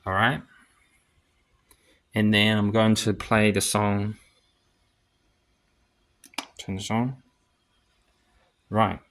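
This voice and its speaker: A man with a fairly low voice talks at a very slow pace through a casual hands-on gear demonstration. He thinks aloud as he goes, in short, halting phrases, like a hobbyist DJ showing how his equipment works. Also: level tone, a bit bright.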